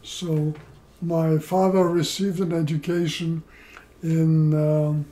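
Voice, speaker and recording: An older man from Poland, seated at home, telling his life story in a slow, measured way with many pauses, recorded by a camera across the room. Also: on the high side, level tone, mellow, a little hiss or hum. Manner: slow, measured, pausing between phrases. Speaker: interviewee. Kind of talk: oral history storytelling. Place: living room, indoors.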